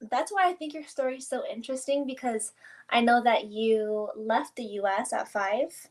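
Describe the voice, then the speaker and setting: A woman's voice: on the high side, moderate pace. A woman hosting a casual interview, chatty and affirming, with plenty of enthusiasm. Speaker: interview host.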